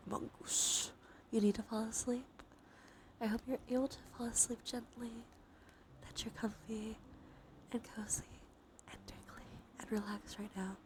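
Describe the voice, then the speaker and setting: A woman with a stuffy nose doing a cozy, gentle ASMR stream, chatting casually in a soft, breathy voice that often drops into whispers. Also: on the high side, fairly even intonation, measured pace, long pauses. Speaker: livestreamer hosting an ASMR stream. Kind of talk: cozy ASMR, casual chat while gaming. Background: soft ambient soundscape.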